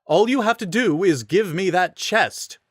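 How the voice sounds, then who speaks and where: A man voicing a pompous, vain young male rider who talks in a high, haughty whine. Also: lively intonation, a little slow, no room sound, clean studio sound. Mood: smug. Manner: shrill, whiny and haughty.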